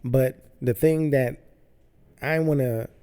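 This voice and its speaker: A man's voice: medium pitch, steady tone, slow pace, mellow, clear, resonant tone. A man hosting his own podcast, talking loosely in a rambling way and often repeating himself. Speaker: podcast host. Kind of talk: casual solo podcast monologue. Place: home studio.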